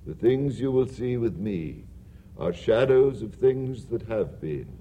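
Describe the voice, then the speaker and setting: A man voicing a solemn, otherworldly male ghost spirit who speaks low, slow and hushed. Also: big pitch swings, slight background noise.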